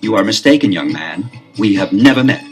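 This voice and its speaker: A nervous enemy agent, played by the drama's narrator, who answers curtly and tries to get away. His voice is low, and clear music plays behind him. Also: lively intonation, brisk, lightly acted. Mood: curt, evasive. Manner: curt and evasive.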